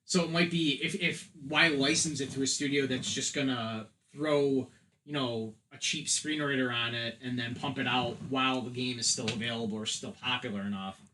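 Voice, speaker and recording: A man's voice: mid-range voice, steady tone, normal speed, bright, forceful tone, a little hiss or hum, poor audio. A man talking casually and emphatically as a podcast co-host, giving strong opinions on entertainment. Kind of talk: casual film and game discussion.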